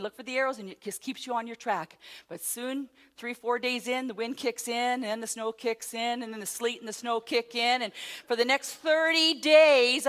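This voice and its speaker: A middle-aged woman, a motivational speaker, telling a self-mocking story to a live audience, rising to loud exclamations. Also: fairly high pitch. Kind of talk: storytelling keynote talk.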